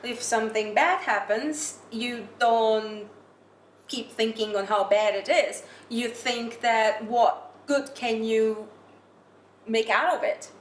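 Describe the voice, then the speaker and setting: A woman's voice: fairly high pitch, slow. A young woman from Hungary, a student guest in a casual, reflective conversation. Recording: echoey room with faint noise.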